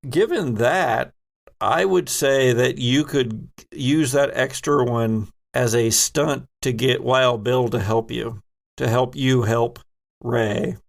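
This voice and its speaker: Gravelly male game master, unhurried. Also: medium pitch. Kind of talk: narrating a tabletop horror game.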